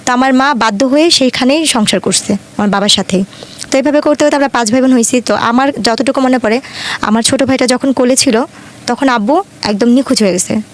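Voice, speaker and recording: Fast-talking young Bangladeshi woman, high voice. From Dhaka, Bangladesh. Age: 20s. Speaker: guest telling her life story. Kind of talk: personal storytelling in an interview.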